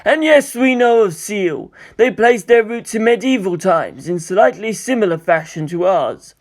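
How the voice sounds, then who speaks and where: Old male monk played by a man, high register, serene scholar, formal explanatory storybook delivery. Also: expressive, a little slow.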